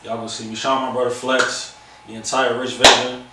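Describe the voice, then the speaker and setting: A man's voice: mid-range voice, level tone, a little fast. An American man in his twenties from Chicago, chatting casually as an interview guest, slangy and emphatic, often repeating phrases. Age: 20s. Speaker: music producer and rapper, guest. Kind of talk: casual chat. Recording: very echoey, distant mic, faint noise.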